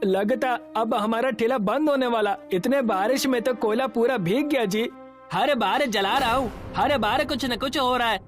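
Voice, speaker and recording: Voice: mid-range voice, moderate pace, pitched above the performer's own voice, natural voice. A narrator playing a humble, worried, earnest male South Indian dosa street vendor in a cartoon story, often ending phrases with a respectful tag. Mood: worried, dejected. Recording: music behind the voice.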